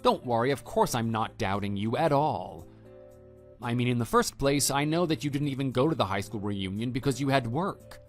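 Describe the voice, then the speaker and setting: Measured, unflappable husband played by a man, music behind. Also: medium pitch, lively intonation, close to an everyday voice. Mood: calm, reassuring. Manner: measured, matter-of-fact, quietly dry. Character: calm, unflappable husband, a former homeroom teacher. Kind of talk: scripted dialogue in an online radio drama. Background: background music.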